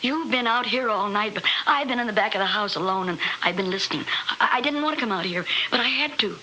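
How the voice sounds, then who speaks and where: An actress playing a frightened, embittered middle-aged wife in a 1960s radio horror play, intense and pleading. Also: female voice, mid-range voice, expressive, fast, sharp tone, poor audio. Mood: tense, insistent. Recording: slightly hissy old radio recording.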